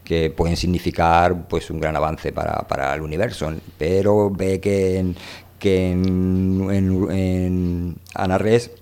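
A man hosting a radio show, talking casually about books in a halting, informal, rambling way. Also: sits low, flat delivery, dark tone, clear, resonant tone. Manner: halting, rambling, informal, trailing into filler tags. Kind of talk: casual book discussion.

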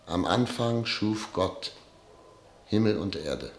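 Troubled dignitary, low voice, very slow, solemn, deliberate, roomy echo, radio drama. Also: male voice, lively intonation, slight background noise. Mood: dreamy, solemn. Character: brooding, troubled male dignitary addressed as Excellency. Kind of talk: German radio play acting. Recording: echoing, roomy studio sound.

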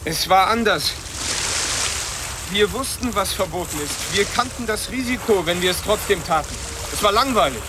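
A man's voice: high voice, animated, moderate pace. A man playing a restless, musing East German newcomer to the West in a radio play. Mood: musing, uneasy. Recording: loud water and boat noise.

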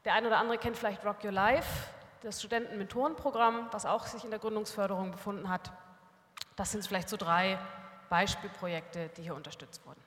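A woman's voice: medium pitch, steady tone, a little fast, a bit bright. A woman presenting her academy at a workshop panel, explaining in a structured way with frequent fillers. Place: conference hall. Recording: long hall reverb.

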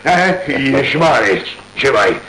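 A man's voice: mid-range voice, big pitch swings, bright, forceful tone, roomy sound, slight background noise, low-quality recording. An actor playing a cornered burglar who taunts his captors in a mocking tone, in a radio drama. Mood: taunting. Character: sneering Italian thug, cornered male burglar. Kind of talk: 1980s radio drama acting.

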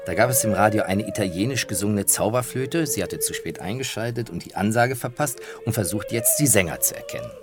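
A man's voice: lowish voice, lively intonation, a little fast, few pauses. A man telling anecdotes as the presenter of a radio feature. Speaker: presenter and music journalist. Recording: music playing behind. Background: music.

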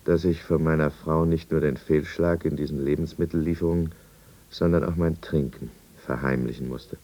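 An actor playing a weary middle-aged businessman who narrates his decline in a 1950s German radio drama, measured and reflective. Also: rumbling low, dark tone, lo-fi sound.

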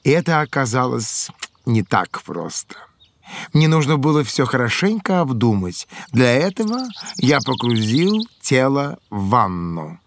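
A man playing an excitable, good-humoured ancient Greek inventor in a children's educational audio drama, explaining with exclamations and care. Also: mid-range voice, a little slow, slight background noise. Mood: eagerly explaining.

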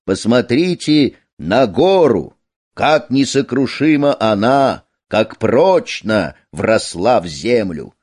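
A man's voice: medium pitch, very animated, slow, darkish tone, no room sound, clean studio sound. A man voicing the wind in a storybook tale, humble and exclaiming, emphatic and rhetorical. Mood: exclaiming, admiring.

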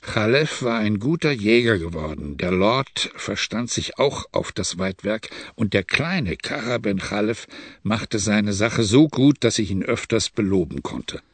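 A man narrating an adventure story in the first person, in a measured, grave manner on a close studio mic. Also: on the low side, expressive, husky, no room sound.

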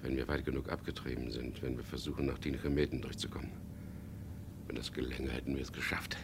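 A tough, decisive skipper in a 1970s radio crime drama. His voice sits very low and crackles with gravel. He is terse and commanding, yet measured when he narrates. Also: level tone. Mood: hushed, tense. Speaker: lead actor and first-person narrator of a radio play.

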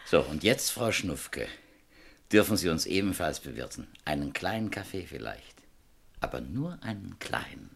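A man acting a probing police captain who interrogates a suspect with pressing, deliberate questions in a radio crime play. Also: low-pitched, sing-song, vocal fry, noisy background, full character voice. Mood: controlled, polite. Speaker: actor in radio crime drama.

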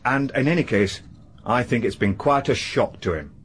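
An actor playing a loyal, deferential manservant who speaks in homely phrases in a radio drama. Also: male voice, mid-range voice, normal speed, a bit bright, natural voice. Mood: respectful. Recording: archive radio drama with light hiss.

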